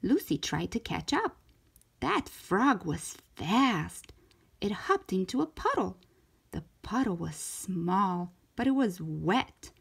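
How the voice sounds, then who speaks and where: A woman narrating a children's story aloud in an expressive, careful storytelling manner, as a storytime host. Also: mid-range voice, slow, gravelly, husky. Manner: animated, careful storytelling delivery.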